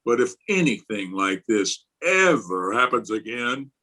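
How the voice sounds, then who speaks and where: A man's voice: mid-range voice, sing-song, unhurried, sharp tone, full character voice. A man playing an exasperated, pompous middle-aged school principal in an old-time radio comedy style. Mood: blustering, outraged. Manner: pompous, indignant, theatrical. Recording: remote video-call recording.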